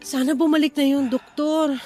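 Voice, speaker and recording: Female actor as young wife, high voice, fretful, questioning, radio drama, faint music behind. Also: fairly even intonation, normal speed, mellow, lightly acted. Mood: worried. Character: anxious young wife and first-time mother.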